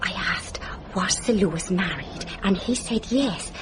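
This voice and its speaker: An eager, chatty female gossip in a radio mystery drama acted by a woman, telling her news with lively, swooping intonation at a medium pitch. Clear background noise sits behind the voice. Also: unhurried, lightly acted. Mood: eager, confiding.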